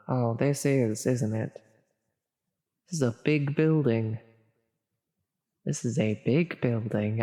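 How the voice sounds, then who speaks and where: Woman acting as a confused female demon, well-meaning tempter, slow hesitant stammer, coaxing, ASMR audio roleplay, long echoing reverb. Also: sits low, a little mellow. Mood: persuading, puzzled.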